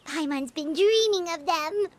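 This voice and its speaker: A woman voicing a small, cheerful, food-loving fairy companion in a video game, with a squeaky childlike voice and excitable manner. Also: measured pace. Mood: excited, eager. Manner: speaks of self in third person, excitable.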